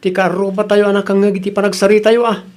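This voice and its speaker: High-voiced nagging Ilocano man, acted. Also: flat delivery, fast, darkish tone, natural voice. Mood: reproachful. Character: nagging, reproachful male relative lecturing about punctuality. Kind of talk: Ilocano comedy radio drama acting.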